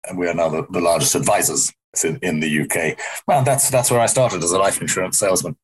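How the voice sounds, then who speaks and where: A middle-aged man from South Africa with a softened South African-English accent, answering in an interview in a rambling, wry way that corrects itself. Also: deep voice, fast talker, breathy edge, low-quality recording. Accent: South African softened toward English. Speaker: interview guest, company founder.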